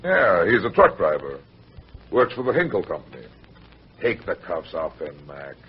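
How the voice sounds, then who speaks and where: An actor playing an unruffled, tough American private detective in an old-time radio crime drama. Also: male voice, sits low, wildly swooping pitch, normal speed, slightly rough, heavily acted. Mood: cool, matter-of-fact. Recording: muffled vintage radio recording, music underneath.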